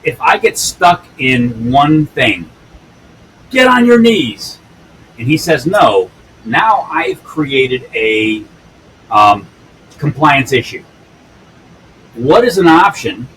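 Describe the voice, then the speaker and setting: A man's voice: mid-range voice, sing-song, takes it slowly, long pauses, a bit bright, a little hiss or hum, rough recording. A man in his fifties, a police use-of-force instructor telling stories in an interview, blunt and earthy, often tagging lines with "right?". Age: 50s. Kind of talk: storytelling in an interview.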